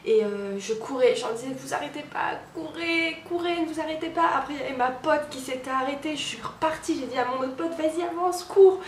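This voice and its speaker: A woman's voice: sits high, fairly quick, few pauses, echoey room, slight background noise. A young woman vlogging in a casual, chatty way, stuffy from a cold, with many fillers and restarts. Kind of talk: casual daily vlog chat.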